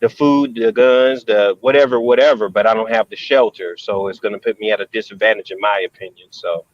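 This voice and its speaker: A man in a casual video call who talks haltingly with many pauses and restarts. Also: on the low side, fairly even intonation, muffled recording. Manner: hesitant, many ums and restarts.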